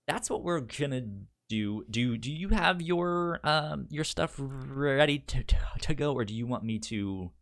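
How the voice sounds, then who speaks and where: A man co-hosting a podcast in casual, unscripted chat, with a stutter that repeats first syllables and a halting, explanatory way of talking. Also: on the low side, creaky, a bit bright. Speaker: podcast co-host.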